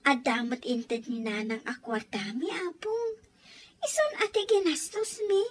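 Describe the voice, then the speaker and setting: A woman's voice: sits high, a little slow. A woman playing a cheerful, affectionate young grandchild in an audio story drama. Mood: eager, sweet. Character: cheerful, grateful young grandchild celebrating an uncle's birthday. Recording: music under the voice.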